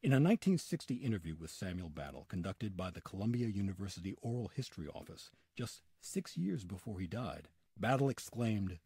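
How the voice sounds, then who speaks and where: A man's voice: fairly low pitch, sing-song, brisk, hardly any pauses, dark tone, breathy edge, no room sound. A man narrating scripted history in an old-time radio storytelling style, with dramatic emphasis.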